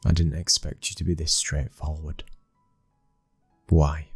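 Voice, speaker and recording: A British man acting a cold, dominant young mafia heir and protective new husband in an ASMR roleplay, speaking in a low, husky hush, slow and commanding. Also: little pitch movement, no echo, faint music behind, heavily acted. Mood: cold, guarded.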